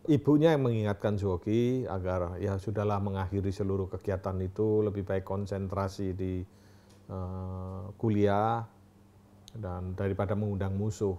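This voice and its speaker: A man recounting a past episode in an interview, in plain, steady storytelling. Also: sits low, normal speed.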